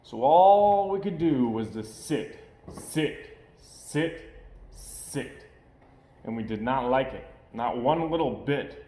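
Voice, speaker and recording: A man reading a children's picture book aloud expressively, with deliberate phrasing and dramatic pauses. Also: medium pitch, animated, dark tone, echoey room. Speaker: storyteller.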